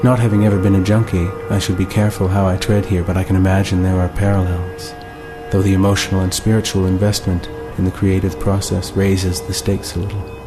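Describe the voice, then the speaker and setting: A man narrating a scripted, reflective monologue in a measured, deliberate and articulate way. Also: low-pitched, level tone. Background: music.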